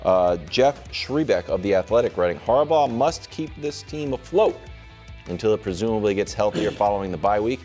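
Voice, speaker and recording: A man hosting a sports panel show, talking in an animated sports-debate style and steering the discussion. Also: on the low side, normal speed, faint music behind.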